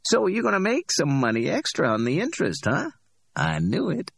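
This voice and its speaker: A man's voice: a little high, wildly swooping pitch, gravelly, a bit bright, husky, dry recording, studio quality, pitched above the performer's own voice, full character voice. A man voicing a cocky middle-aged hustler who teases and drawls his words. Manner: teasing, drawn-out, challenging.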